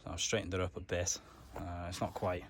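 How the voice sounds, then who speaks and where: A man's voice: sits low, little pitch movement, fairly quick, fairly bright tone, a little hiss or hum. A man giving a casual, matter-of-fact hands-on car tutorial, explaining things step by step in informal words. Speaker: DIY car mechanic vlogger.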